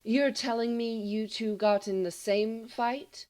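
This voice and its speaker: A woman's voice: fairly high pitch, fairly even intonation, slow, darkish tone. A woman voicing a sceptical school matron in an audiobook, firm and coaxing, trying to sound reassuring. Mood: probing. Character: stern but soothing, sceptical school matron. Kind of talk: audiobook character voice.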